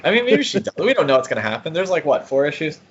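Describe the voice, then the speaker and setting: A man's voice: a little high, very animated, fast talker, dull audio, slight background noise. A man co-hosting a podcast in casual chat, with an easygoing manner and joking asides. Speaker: podcast co-host.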